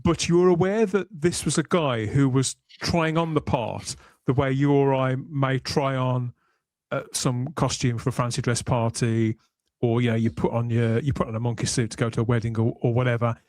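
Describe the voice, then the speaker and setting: A man hosting a podcast with conversational commentary, speaking in articulate, measured, storytelling phrases. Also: mid-range voice, steady tone, darkish tone, no room sound. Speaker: podcast host.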